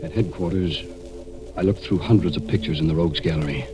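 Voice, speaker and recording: An American actor in 1940s radio style playing a wounded, determined man telling of a murder hunt, dramatic and at times halting. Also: sits low, heavy background noise, natural voice. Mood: grave narration. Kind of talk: 1940s radio acting, first-person narration and dialogue.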